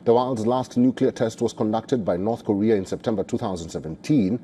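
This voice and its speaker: Man, news presenter, low-pitched, very mellow dark tone, formal measured narration, faint background noise.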